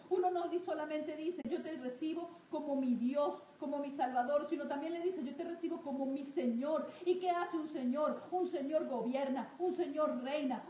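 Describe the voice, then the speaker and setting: Female prayer leader, high-pitched with a soft-edged tone, fervent and insistent delivery, echoey room with faint noise. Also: highs cut off. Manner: emphatic and repetitive, with frequent invocations. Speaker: prayer leader preaching to an online audience. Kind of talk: fervent intercessory prayer and exhortation. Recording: roomy echo, faint background noise.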